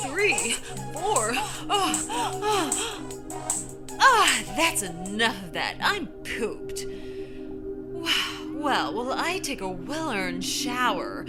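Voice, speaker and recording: A woman hosting a story podcast with a theatrical, playful manner as she introduces listeners to tales. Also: high-pitched. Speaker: podcast host. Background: loud music.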